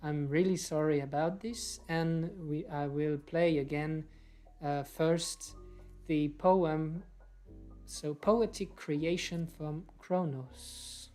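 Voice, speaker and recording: A woman's voice: low-pitched, steady tone, takes it slowly, dark tone, soft background music. A young woman hosting an online poetry stream informally, hesitant in delivery. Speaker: host of an online poetry reading. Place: small room at home, indoors. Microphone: video call.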